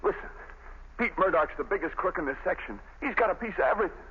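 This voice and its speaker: An actor playing Dan Malley, a tough-talking young convict facing execution, in a 1930s radio drama. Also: male voice, high voice, expressive, fast talker, breathy edge, full character voice. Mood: desperate. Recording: tinny, hissy 1930s radio recording.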